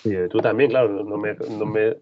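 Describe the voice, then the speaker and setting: A man's voice: fairly low pitch, steady tone, a little fast, slight background noise. A man who works as a freelance basketball reporter, answering interview questions in a thoughtful, rambling way with frequent restarts. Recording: dull lo-fi sound.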